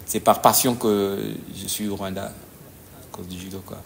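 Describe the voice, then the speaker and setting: A man speaks in a low, gravelly voice. He talks haltingly, pausing and searching for words as he explains his work as a judo coach. A faint hum of noise sits under him. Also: fairly even intonation. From DR Congo. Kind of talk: conversational interview answers.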